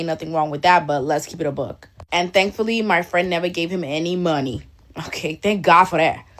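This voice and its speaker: A woman from Denmark tells a casual storytime in a slightly low voice. She is candid and gossipy, and she leans hard on her points. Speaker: storyteller in a played clip.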